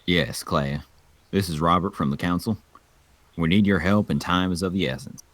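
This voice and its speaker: A man voicing a stern male council elder who talks in a brisk, businesslike way. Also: low-pitched, a bit bright, no room sound, pitched below the performer's own voice. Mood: urgent.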